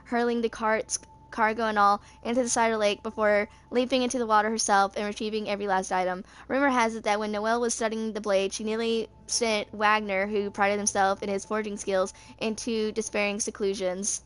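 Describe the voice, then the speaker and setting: A woman's voice: medium pitch, little pitch movement, a little fast, hardly any pauses, clean tone. A woman in her twenties reading video game character lore aloud, stumbling over words now and then. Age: 20s. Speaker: gaming video creator.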